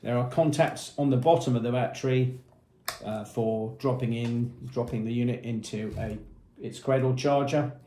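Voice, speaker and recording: A man with a mid-pitched voice gives an unscripted product walkthrough. He explains things methodically and plainly, point by point. The sound is roomy, with clear echo around his voice. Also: warm tone. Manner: matter-of-fact, methodical, explanatory. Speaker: product presenter.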